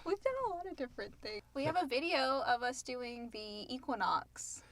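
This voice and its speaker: A woman with a somewhat high voice works as a podcast co-host. She reads listener questions aloud and adds short, informal comments in a casual conversational style. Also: animated, moderate pace, fairly bright tone.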